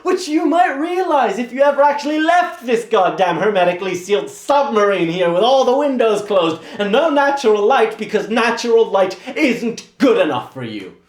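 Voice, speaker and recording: An actor playing an angry, sarcastic young painter's assistant in a theatrical monologue, swearing in rapid tirades. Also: male voice, a little high, expressive, roomy sound. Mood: sarcastic fury.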